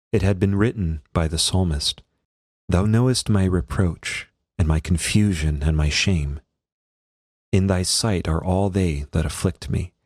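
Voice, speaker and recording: A man, a priest, reading a devotional text aloud in a solemn, measured and formal manner. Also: sits low, darkish tone, dry recording, studio quality.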